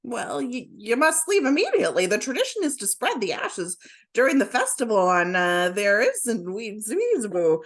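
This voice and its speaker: Woman plays doting old German grandma, halting delivery, lively melodic pitch. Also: heavily acted. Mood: solemn. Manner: halting, hesitant speech with many uh's.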